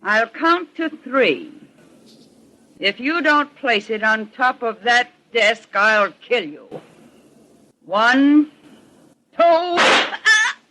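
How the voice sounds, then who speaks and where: A haughty, greedy murderess from a vintage radio thriller. Her voice is bright and forceful, and she speaks in imperious, theatrical tones, like a lady used to money and ready to kill. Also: a little high, lively intonation, very slow, long pauses, a little hiss or hum, heavily acted, poor audio. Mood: threatening. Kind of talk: 1940s radio crime drama acting. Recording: old 1940s radio broadcast.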